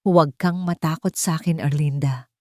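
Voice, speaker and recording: A woman voicing a gentle, melancholy wealthy widower, speaking softly in an audio romance. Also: sits low, fairly even intonation, moderate pace, no echo, clean studio sound, natural voice. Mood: tender, concerned.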